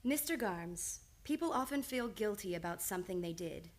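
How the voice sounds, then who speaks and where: A woman playing a young, earnest psychiatrist with formal, measured speech in an old-time radio play. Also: mid-range voice, animated, close to an everyday voice. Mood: calm, clinical. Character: young, reserved, earnest female psychiatrist, precise and clinical.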